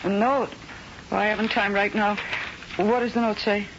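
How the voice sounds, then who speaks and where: A woman's voice: mid-range voice, flat delivery, normal speed, noisy background, lightly acted. An actress playing a glamorous, flirtatious woman singer in a 1970s radio drama, with a theatrical manner. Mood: hurried. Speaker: leading lady. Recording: muffled old radio broadcast with music.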